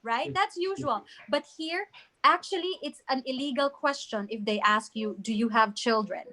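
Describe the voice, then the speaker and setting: High voice, woman in her thirties, chatty advisor, quick examples. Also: sharp tone, rough recording. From Philippines. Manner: chatty, explains with quick examples, mixes in Tagalog. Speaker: employment advisor. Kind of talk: casual interview answers.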